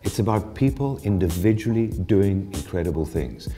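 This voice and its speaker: A man answering interview questions, telling his story in an animated way with frequent restarts. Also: low-pitched, moderate pace, faint music behind. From South Africa. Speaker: interviewee, honoree.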